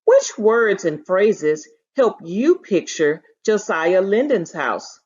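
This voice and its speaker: A woman teacher reading a story aloud in an expressive, emphatic storytelling style, pausing to share her thoughts. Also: medium pitch, breathy edge. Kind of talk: expressive story read-aloud with think-aloud commentary. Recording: dull, lo-fi audio.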